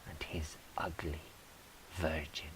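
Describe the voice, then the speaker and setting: A man reading poetry in a measured, deliberate, wry way, as a poet performing his own work. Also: extremely low pitch, flat delivery, fairly bright tone, rough recording. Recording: faint background hiss.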